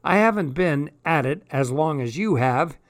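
A man reading aloud and voicing a weary, bitter gentleman tramp who talks grimly. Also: on the high side, expressive, normal speed, close to an everyday voice. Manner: grim, bitter.

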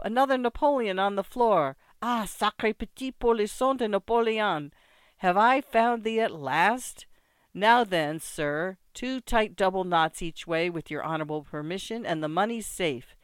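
A reader voicing a boisterous old ex-grenadier, full of exclamations, in a smooth, dry recording. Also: mid-range voice, no echo. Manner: loud, exclaiming, full of oaths and toasts. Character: boisterous old French ex-grenadier, loud and theatrical. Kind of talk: audiobook character voice.

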